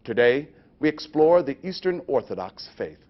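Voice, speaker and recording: A man's voice: medium pitch, sing-song, normal speed. A man who works as a chaplain, presenting scripted narration for a training video. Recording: dull, lo-fi training video audio.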